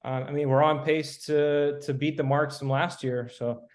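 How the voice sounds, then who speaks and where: A man with a somewhat high-pitched voice speaks as a podcast guest. He lays out his views in a measured, reasoned way, building each point step by step. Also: flat delivery. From Miami, Florida, US. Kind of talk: casual podcast discussion.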